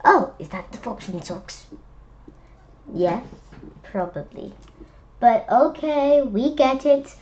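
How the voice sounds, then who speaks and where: A woman's voice: on the high side, animated, takes it slowly, pauses often, darkish tone, muffled recording. A woman reading a children's book aloud, adding chatty, playful asides and speaking directly to young listeners.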